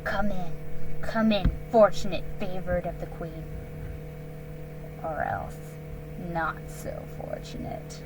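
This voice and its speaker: Menacing male wolf sentry, curt orders, unhurried , read by a woman. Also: lowish voice, music in the background, close to an everyday voice, rough recording. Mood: stern. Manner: commanding, stern.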